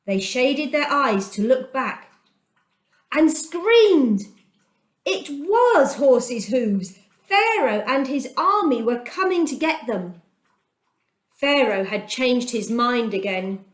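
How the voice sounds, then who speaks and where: A middle-aged woman telling a children's Bible story aloud, gentle and expressive, with dramatic pauses. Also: sits high. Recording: echoey room.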